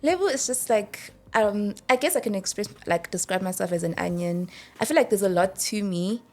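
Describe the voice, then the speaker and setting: A woman's voice: mid-range voice, expressive, a little fast, soft background music. A woman, reflecting casually on herself as an interview guest. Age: early 20s. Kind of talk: casual chat, personal reflection.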